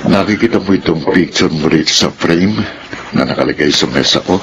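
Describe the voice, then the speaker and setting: A male actor as a middle-aged Filipino dad in a radio drama. His voice sits very low, grave and earnest, stern yet loving, over clearly audible noise. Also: brisk, close to an everyday voice. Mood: tender, firm. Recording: noisy radio drama recording.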